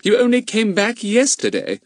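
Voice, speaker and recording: A prim, impatient mother scolding her son, as a male narrator puts her on. The voice rises high and lively with exasperation. The sound is close and dry. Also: normal speed, no room sound, full character voice. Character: fussy, impatient middle-aged mother.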